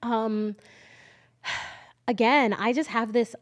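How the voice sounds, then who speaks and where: A woman's voice: a little high, steady tone, extremely slow. Easygoing woman from Hawaii, laughing. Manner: easygoing, laughs often, acts out her own past thoughts. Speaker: podcast guest, marketing agency founder. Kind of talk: casual interview.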